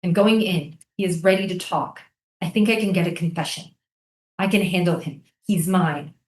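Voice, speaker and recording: A woman's voice: lowish voice, steady tone, normal speed, a little hiss or hum, natural voice. A woman playing an earnest, insistent American soldier and interrogator in a staged reading of a drama. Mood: scheming. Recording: roomy, echoey live stage reading.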